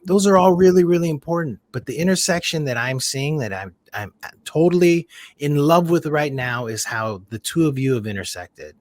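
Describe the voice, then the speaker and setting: A man's voice: a little high, expressive, normal speed, no echo. A man talking casually as a podcast guest on a video call, reflective and rambling, with many restarts. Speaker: podcast guest, community founder.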